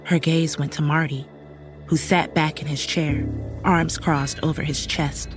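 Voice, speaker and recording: A woman's voice: low-pitched, fairly even intonation, unhurried. A woman performing suspenseful first-person horror narration as an uneasy young woman recounting a horrifying night. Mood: tense. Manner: serious, suspenseful storytelling. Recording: music faintly under the voice.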